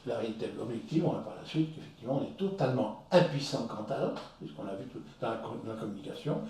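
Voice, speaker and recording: A man calmly explaining feelings step by step, leading a conversational discussion, recorded with a distant mic in a very echoey room. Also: medium pitch. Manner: measured, explains step by step with examples. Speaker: discussion leader on psychology.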